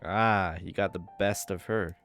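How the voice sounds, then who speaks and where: A woman comments casually on a video game as she plays. Her voice is extremely low for a woman. Faint game music sits in the background. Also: fairly even intonation, measured pace. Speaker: gaming video creator. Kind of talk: casual let's play commentary, reading dialogue.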